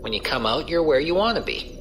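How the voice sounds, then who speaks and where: A man's voice: a little high, sing-song, brisk, a bit bright, faint music behind. A casual, wry space pirate crewman. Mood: casual, explanatory. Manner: colloquial, explanatory. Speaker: voice actor. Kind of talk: science fiction audio drama dialogue.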